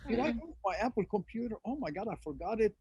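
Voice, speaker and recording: A man's voice: sits high, animated, fast talker, crowd noise. A man from Egypt with an Egyptian accent, speaking informally in a panel discussion on a video call and animatedly acting out imagined exchanges. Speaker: symposium speaker.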